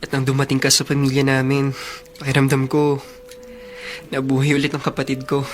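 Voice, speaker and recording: A man's voice: mid-range voice, monotone, fairly quick, a little hiss or hum, natural voice. A man with a Filipino accent playing a playful, affectionate young big brother in a radio drama, in a boyish manner. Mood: fond, wistful.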